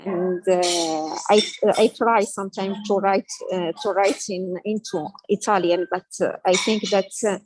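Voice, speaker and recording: A woman whose first languages are Arabic and French, a poet answering a panel question haltingly, with many fillers and restarts. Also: medium pitch, steady tone, background noise.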